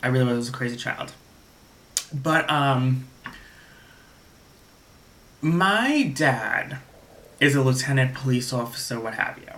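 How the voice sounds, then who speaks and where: Bright-voiced New man rambling. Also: medium pitch, animated, slow pace, pauses often. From Staten Island, New York. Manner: rambling, restarts often, heavy use of "like". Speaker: vlogger. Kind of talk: casual storytime monologue.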